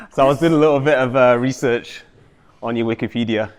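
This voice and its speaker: A man who hosts the show while coaching, running through exercises in a laid-back, filler-heavy way. His voice sits mid-range and echoes with a long reverb around the gym. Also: medium pitch. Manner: relaxed, loose, trailing off, with many fillers. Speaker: host and personal trainer. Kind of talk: casual coaching chat.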